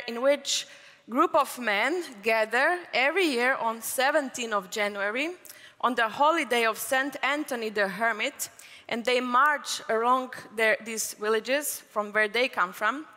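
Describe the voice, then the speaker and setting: Bright-voiced woman giving a conference talk. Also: on the high side, unhurried. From Croatia. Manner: fluent, explanatory, with occasional fillers. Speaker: conference speaker. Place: large conference hall. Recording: hall reverb from a conference stage.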